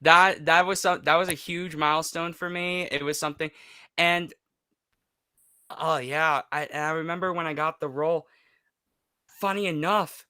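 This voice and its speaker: A man's voice: on the high side, fairly even intonation, moderate pace, pauses often, sharp tone, smooth, no room sound, clean studio sound. A man in his twenties talking candidly as an interview guest, emotional and emphatic, repeating phrases for stress. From United States. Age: 20s. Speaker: podcast guest, YouTuber and voice actor. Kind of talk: candid personal interview chat.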